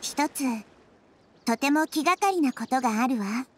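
A woman voicing a gentle, wise little girl deity in an anime game, speaking softly and with composure. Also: high voice, lively intonation, slight background noise. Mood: thoughtful, serious. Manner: soft, composed, feminine phrasing with measured delivery. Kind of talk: anime game dialogue acting.

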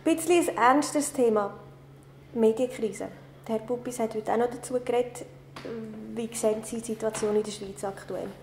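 A woman's voice: on the high side, moderate pace, a little mellow, slight background noise. Woman interviewer in a roomy office. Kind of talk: interview questions. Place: office, indoors.

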